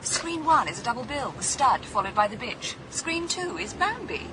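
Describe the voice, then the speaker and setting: A woman playing a breezy, bossy but caring friend in a naturalistic radio drama, brisk and teasing. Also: high voice, big pitch swings, background noise. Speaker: actor in a radio thriller.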